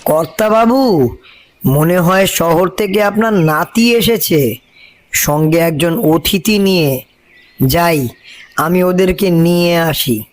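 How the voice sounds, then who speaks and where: A man's voice: high voice, slow, pauses often, a little hiss or hum. A man voicing a loyal, superstitious village servant in a cartoon, deferential, earnest and foreboding. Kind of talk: cartoon voice acting.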